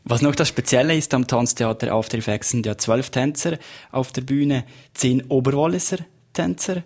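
A man's voice: medium pitch, moderate pace, a little mellow. A man hosting a radio interview, asking questions steadily and giving brief affirmations. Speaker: radio host.

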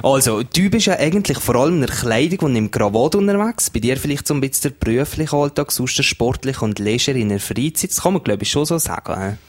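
A man with a Swiss German accent hosting a radio show and interviewing a guest, often tagging questions with a quick "hä?". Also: mid-range voice, lively intonation. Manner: friendly, ends questions with "hä?". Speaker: radio host.

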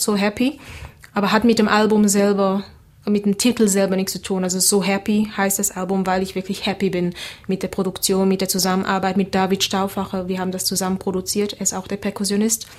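Woman, flat even speech. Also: mid-range voice. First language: Creole. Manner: relaxed, hesitant with many fillers. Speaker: singer guest. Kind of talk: casual interview answers.

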